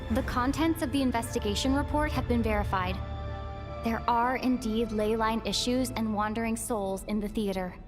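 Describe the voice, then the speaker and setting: Woman acting a composed, high-voiced game investigator. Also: music in the background, natural voice. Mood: composed, explaining. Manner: measured, explanatory. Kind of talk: scripted game dialogue.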